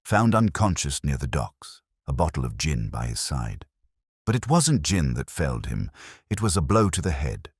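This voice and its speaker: A man acting out an irritable police inspector in a mystery audiobook. He speaks bluntly and matter-of-factly in an extremely deep, husky voice. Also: big pitch swings, moderate pace, no room sound, clean studio sound, full character voice. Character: irritable, reluctantly respectful male police inspector.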